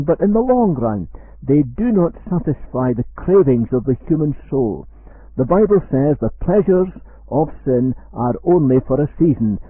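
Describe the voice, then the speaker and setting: A man with a Scottish accent, giving an earnest, measured radio sermon. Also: mid-range voice, breathy edge. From Scotland. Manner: measured, earnest preaching, slows for quoted verse. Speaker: preacher. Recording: dry, tinny phone-like radio sound.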